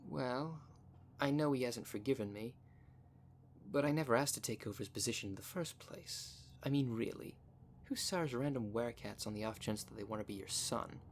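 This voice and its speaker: Male werecat played by a man: boastful, cunning, menacing, mid-pitched, theatrical audio roleplay delivery, faint background noise. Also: moderate pace, lightly acted. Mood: smug storytelling. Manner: smug, taunting, theatrical. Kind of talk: ASMR roleplay monologue.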